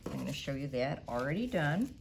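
A middle-aged woman, a children's librarian, reading a storybook aloud with animated sound effects and then walking kids through a craft, recorded on a lapel mic in a library room. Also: low-pitched, fairly quick, creaky, background noise.

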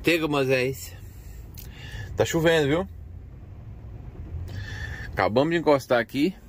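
A man's voice: fairly high pitch, unhurried, a little hiss or hum. A man from Brazil with a Brazilian Portuguese accent, a truck driver chatting and joking to his camera from inside his truck cab. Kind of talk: casual vlog narration. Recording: loud background music over voice.

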